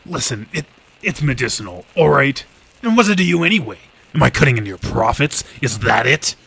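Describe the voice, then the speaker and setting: An actor playing a hard-drinking police detective in an old time radio drama, gravel-voiced and drawling, with a needling manner. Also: male voice, mid-range voice, lively intonation, a little fast, a bit bright, full character voice, rough recording. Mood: defensive.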